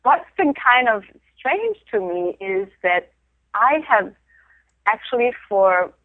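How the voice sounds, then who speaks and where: A woman's voice: mid-range voice, very animated, slow, dry recording. A middle-aged woman from Denmark, talking reflectively in a casual interview, laughing often and restarting her sentences. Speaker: interview guest, photographer of women. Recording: tinny phone line.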